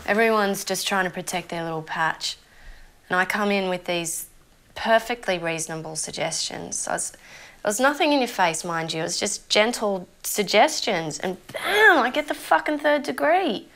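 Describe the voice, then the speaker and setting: A woman's voice: medium pitch, animated, moderate pace, a bit bright. An actress playing an opinionated young female zombie with a conscience, ranting conversationally and swearing freely in an Australian accent, as if interviewed for a mockumentary. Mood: bitter, wry, then mocking, exasperated. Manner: sweary, indignant, conversational rambling.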